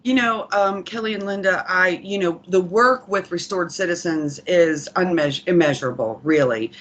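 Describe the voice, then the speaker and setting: A woman's voice: on the low side, moderate pace. A woman giving an earnest committee report as a program official, reflective and emphatic, repeating phrases for stress. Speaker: corrections program official presenting.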